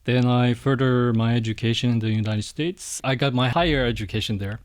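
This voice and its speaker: Soft-spoken middle-aged monk from Indonesia. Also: male voice, medium pitch, a little fast. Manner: hesitant, soft-spoken, with frequent restarts and small laughs. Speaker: interview guest, Buddhist monk. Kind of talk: casual interview answers.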